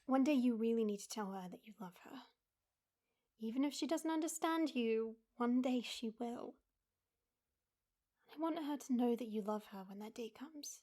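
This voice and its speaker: Woman acting a soft, half-whispering, pleading young mother. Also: a little high, natural voice. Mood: tender pleading. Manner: slow, tender pleading. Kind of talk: intimate audio drama acting.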